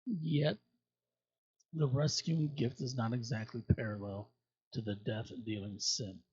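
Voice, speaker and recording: A man reading scripture aloud expressively, with emphatic, deliberate phrasing and dramatic pauses. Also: medium pitch, fairly even intonation, a little mellow, slightly rough, no room sound, dull audio. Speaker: scripture reader at a church.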